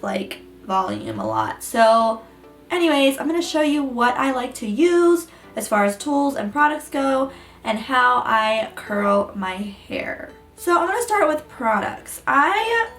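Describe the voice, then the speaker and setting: A young woman, a little hoarse, chatting her way through a hair tutorial with lots of ums and likes. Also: on the high side, a bit bright. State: hoarse, getting her voice back. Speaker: beauty vlogger. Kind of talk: casual hair tutorial chat. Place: room at home beside a window. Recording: echoey room, mic a bit far.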